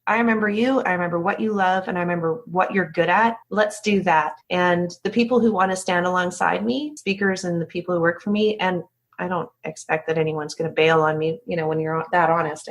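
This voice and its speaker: A woman talks casually as a guest in an interview. Her voice sits a little on the low side. She is frank and self-reflective, speaking in a loose, conversational way. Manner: candid, chatty, self-reflective. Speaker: podcast guest, company founder.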